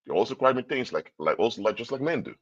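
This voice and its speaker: A man's voice: mid-range voice, expressive, quick pace, a bit bright, breathy edge, muffled recording. A man from Curaçao, giving a livestream talk in a lecturing tone full of rhetorical questions. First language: Papiamento. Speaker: commentator. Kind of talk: livestream commentary.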